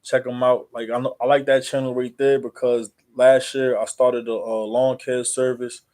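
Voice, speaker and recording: Man, American accent, narrow pitch range, halting rambling speech, casual livestream host. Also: flat delivery, darkish tone. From New Jersey, USA. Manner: halting, repetitive, filler-heavy rambling.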